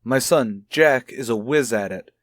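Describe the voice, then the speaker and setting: An actor playing a kindly child psychologist in a mid-century radio soap opera, speaking in a measured, coaxing way. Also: male voice, medium pitch, dry recording, studio quality, lightly acted. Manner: measured, coaxing, gently probing. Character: kindly, probing male child psychologist, a father. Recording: vintage radio recording with music.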